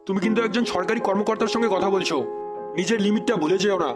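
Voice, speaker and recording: A smug cartoon office clerk played by a man, angling for bribes with a sly, officious manner. The male voice is high and quick. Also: fairly even intonation, lightly acted. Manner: sly, officious, matter-of-fact. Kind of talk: comedy cartoon dubbing. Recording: loud music behind the voice.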